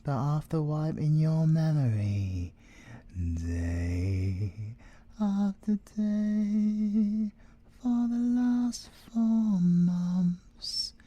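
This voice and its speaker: A man's voice: sits high, expressive, mellow, heavily acted. A British man playing a playful, possessive, seductive siren villain in a theatrical ASMR audio roleplay. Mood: smug, teasing. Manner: teasing, theatrical, drawn-out delivery with drops into whispering.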